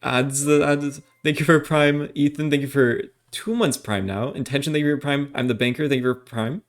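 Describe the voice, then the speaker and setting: Man streaming games, mid-pitched. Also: moderate pace. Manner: reads out thanks for subscriptions in a flat list. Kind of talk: casual gaming livestream chat.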